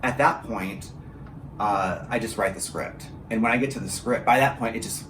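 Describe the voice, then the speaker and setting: A man's voice: lowish voice, moderate pace. Chatty man in an echoey room. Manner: chatty, self-deprecating, freely swearing. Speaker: audio drama writer and director. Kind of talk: casual studio interview. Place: small home studio, indoors. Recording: roomy echo with faint background noise.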